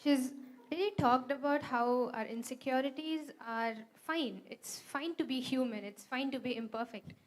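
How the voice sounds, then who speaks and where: A woman with an Indian accent and a somewhat high voice co-hosts a live event. Her delivery is informal and conversational as she addresses speakers and audience. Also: moderate pace. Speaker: event co-host. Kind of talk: live stage hosting.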